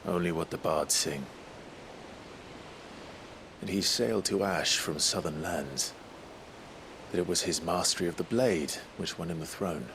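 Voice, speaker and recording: An actor playing a brooding, resolute young warrior in a fantasy game, with an earnest, measured delivery. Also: male voice, low-pitched, noisy background. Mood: solemn. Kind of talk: dramatic fantasy game voice acting.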